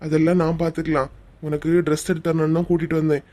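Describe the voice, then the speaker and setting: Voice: mid-range voice, little pitch movement, rapid-fire, darkish tone, natural voice. A performer voicing a persistent, reassuring young male suitor in a cartoon story, with a coaxing manner and a Tamil Nadu accent. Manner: coaxing, insistent.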